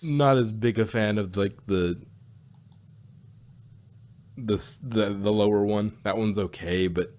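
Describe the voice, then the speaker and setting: A man's voice: on the low side, takes it slowly, long pauses, darkish tone. A man chatting casually as a podcast host, hesitant and often repeating and rephrasing himself as he goes. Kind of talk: casual chat.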